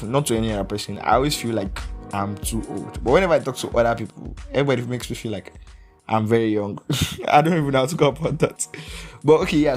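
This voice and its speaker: A young man in his early twenties hosting a casual solo podcast, rambling, correcting himself and laughing often. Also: medium pitch. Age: young adult. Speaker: podcast host.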